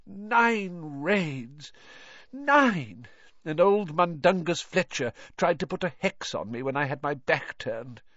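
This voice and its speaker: A man's voice: a little high, lively intonation, moderate pace, hardly any pauses, no echo, dull audio, pitched above the performer's own voice. A man voicing a tired, kindly middle-aged wizard father who stammers when flustered. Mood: weary. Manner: stammering, sheepish, weary.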